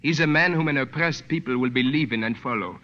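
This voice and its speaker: A man's voice: mid-range voice, fast talker, natural voice. An actor playing an earnest, humble French resistance man with grave, formal speech in a 1940s radio drama. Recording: dull old radio recording.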